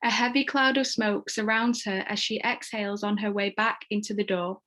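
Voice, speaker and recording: A woman reads screenplay stage directions as narrator in a measured, descriptive manner. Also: mid-range voice, fairly even intonation, sharp tone.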